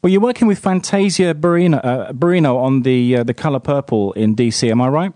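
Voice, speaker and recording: Man, radio host, brisk and chatty, dry studio sound. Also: medium pitch, dark tone, dry recording. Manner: quick, chatty, hesitant fillers. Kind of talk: radio interview.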